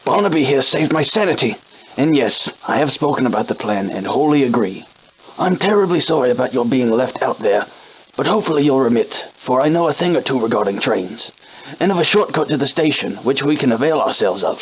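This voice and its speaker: An actor playing a genteel, verbose shopkeeper with a villain's streak, in formal, archaic, theatrical game dialogue. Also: male voice, medium pitch, lively intonation, fairly quick, muffled recording, slight background noise, lightly acted. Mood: earnest, courteous. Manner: formal, archaic, florid wording. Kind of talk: theatrical period game acting.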